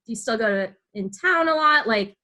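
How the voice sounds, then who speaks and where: Bright, high-voiced woman interviewing. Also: expressive, moderate pace. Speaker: podcast host and interviewer. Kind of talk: casual, friendly interview chat.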